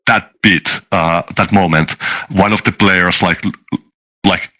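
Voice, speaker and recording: A man talking casually as a game designer being interviewed, halting and often restarting his words. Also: low voice, steady tone, bright, forceful tone, low-quality recording. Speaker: tabletop game designer, guest.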